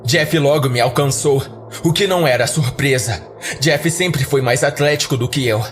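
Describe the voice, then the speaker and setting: A man's voice: medium pitch, moderate pace. A man with a Brazilian accent, a podcast host, giving a dramatic scripted first-person horror narration. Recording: background music under the voice. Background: music.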